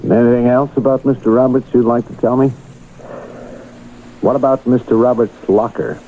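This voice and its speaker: An actor in a radio drama playing a paternal but suspicious boss who speaks with measured, probing authority. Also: male voice, mid-range voice, level tone, dark tone, close to an everyday voice. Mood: stern, probing. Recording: muffled old radio broadcast, faint noise.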